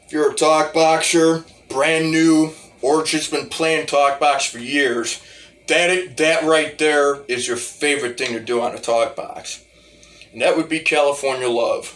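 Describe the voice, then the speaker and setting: A man's voice: on the high side, unhurried, fairly bright tone. A man giving a casual, rambling demo as a hobby musician, often restarting his phrases. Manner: rambling, repeats starts of phrases. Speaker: hobbyist talk box musician.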